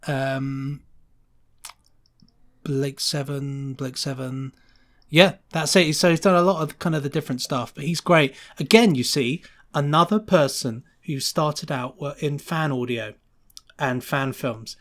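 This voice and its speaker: A man's voice: mid-range voice, a little slow. A man speaking as a podcast guest and sound designer, chatting casually and enthusiastically in an effusive, rambling way.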